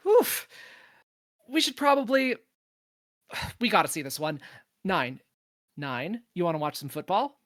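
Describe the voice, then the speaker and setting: A woman playing a wise, protective space probe in a podcast table read, speaking in a measured, firm, reassuring manner. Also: fairly low pitch, big pitch swings, no echo, clean studio sound. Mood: curious.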